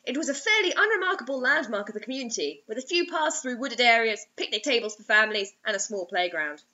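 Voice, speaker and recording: Animated, high-voiced young woman reading aloud. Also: quick pace, highs cut off. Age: young adult. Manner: expressive, theatrical. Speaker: host reading bad fiction aloud. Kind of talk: dramatic mocking story reading. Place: small indoor room.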